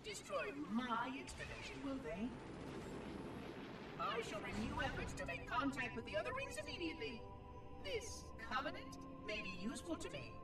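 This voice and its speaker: A man's voice: very high voice, heavily acted. A man playing a prim, aggrieved ancient machine caretaker in a game cutscene, formal and theatrical, with a synthetic echo. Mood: indignant. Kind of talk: scripted game cutscene monologue. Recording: echoing, distant, under loud music.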